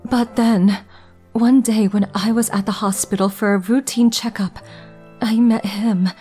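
A woman playing a guarded, gentle, melancholy young woman in an anime dub, soft-spoken and hesitant. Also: mid-range voice, steady tone, unhurried, breathy edge, soft background music. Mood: melancholy confiding. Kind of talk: anime manga dub acting and narration.

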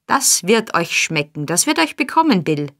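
A woman's voice: fairly low pitch, big pitch swings, moderate pace. A woman reading an audiobook, voicing a scheming old male fence who wheedles and placates. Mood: wheedling. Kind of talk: audiobook character voice.

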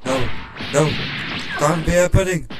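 A man's voice: on the high side, a little slow, music in the background, natural voice. A man playing a defiant, easily alarmed young fighter in an amateur game role-play, exclaiming and repeating himself. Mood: panicked. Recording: noisy home recording.

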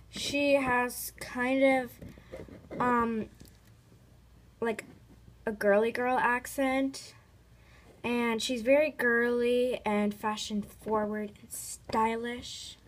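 A woman's voice: fairly high pitch, slight background noise. A woman narrating a casual vlog in a rambling, informal way that tends to trail off, recorded indoors on a handheld phone. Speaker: host of a toy video channel. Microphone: phone held near the speaker.

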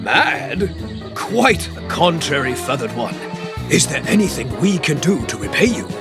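Grand, higher-pitched cartoon chief. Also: male voice, big pitch swings, a little slow. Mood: gracious. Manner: grand, formal. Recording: game cutscene with music underneath.

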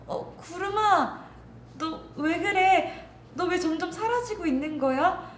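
A woman's voice: very high voice, lively intonation, slow, a little hiss or hum, voice pushed up, heavily acted. A woman voicing an emotional, tender young rainbow girl who doubts herself, in a children's storytelling. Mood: anxious, pleading. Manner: plaintive, emotional, exclaiming. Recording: roomy echo, music underneath.

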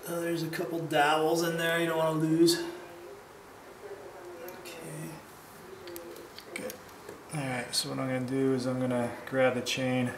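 A man's voice: fairly high pitch, flat delivery, unhurried, pauses often. A man talking casually and thinking aloud as he narrates hands-on repair work as an amateur mechanic. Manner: informal, thinking aloud while working. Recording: clear background noise, camera mic.

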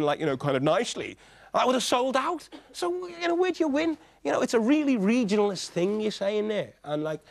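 Brief: high-voiced young Scouse man, jokey and animated studio guest. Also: vocal fry. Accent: Liverpool. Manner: animated, jokey, laughs often, jumps in over questioners. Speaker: studio guest answering audience questions. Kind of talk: lively studio discussion. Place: television studio with seated audience.

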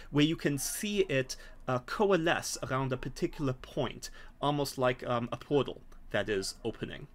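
A man's voice: medium pitch, a bit bright. Middle-aged man narrating a tabletop game. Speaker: game master. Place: home room, indoors. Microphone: video call.

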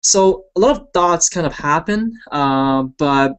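A man's voice: a little high, unhurried, clear, resonant tone. Chatty man. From Hong Kong. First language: Cantonese. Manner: relaxed, chatty, lots of fillers like "you know" and "right?". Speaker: hangout host. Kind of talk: casual personal story on a video call. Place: small room indoors. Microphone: headset on video call.

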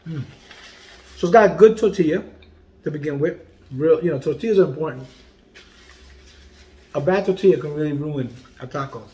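A man in his forties hosts a casual food review from a dining room at home, joking and animated. His voice sits a little high for a man, and he drops to quiet murmurs while tasting. The room gives his voice a noticeable echo. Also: moderate pace, pauses often. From Puerto Rican heritage. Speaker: food vlogger and comedian host. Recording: echoey room.

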